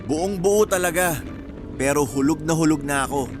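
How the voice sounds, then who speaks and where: Guy acting as persistent lovestruck suitor, kinda high voice, jokey and flirty, radio drama w/ music. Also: moderate pace, lightly acted. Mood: flirty teasing. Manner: teasing, flirtatious, easygoing. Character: persistent, cheeky, smitten male suitor, hardworking rice hauler.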